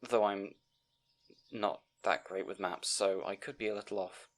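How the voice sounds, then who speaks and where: A man's voice: on the low side, steady tone, moderate pace, close to an everyday voice. A man playing a timid, bookish young scribe in a fantasy audio roleplay, hesitant and apologetic, rambling and stammering. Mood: anxious, rambling. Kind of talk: audio roleplay acting addressed to the listener.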